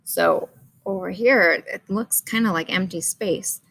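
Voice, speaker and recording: A woman's voice: mid-range voice, measured pace, a bit bright, slight background noise. A woman reading a picture book aloud to children, pointing things out and asking questions as she goes. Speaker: children's story reader.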